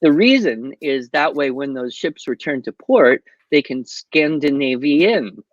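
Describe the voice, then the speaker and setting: A man's voice: medium pitch, expressive, unhurried, no room sound, highs cut off. Chatty joke-telling man on a video call. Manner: wry joke teller, chatty and digressive. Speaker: guest speaker on homeschooling. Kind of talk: casual interview chat.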